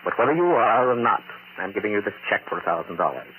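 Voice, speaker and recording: Guy playing creepy deranged doctor in 40s radio play, lowish swoopy voice, menacing, hissy old recording. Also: lively intonation, narrow, tinny audio, close to an everyday voice. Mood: coolly persuasive. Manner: halting, insinuating, given to sinister chuckling. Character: deranged, scheming male psychiatrist, menacing and gloating. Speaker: radio drama actor. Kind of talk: 1940s radio mystery acting. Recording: noisy 1940s radio recording.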